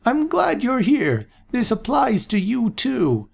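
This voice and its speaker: A raspy, doddering old seer. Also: male voice, very high-pitched, huge pitch swings, voice pushed up, full character voice. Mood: muddled. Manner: slow, vague, repeats himself. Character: doddering old male seer, confused and forgetful. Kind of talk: audiobook character voice.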